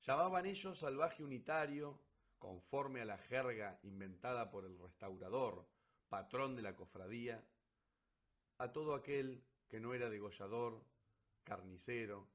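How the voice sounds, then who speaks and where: A man narrating a literary story for radio theatre in a solemn, deliberate, dramatic way. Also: medium pitch, a bit bright.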